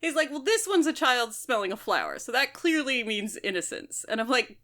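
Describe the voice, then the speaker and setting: A woman's voice: fairly high pitch, huge pitch swings, fairly quick, pushed and bright. A woman podcast host casually discussing a book, explaining things with mock quotes as she goes.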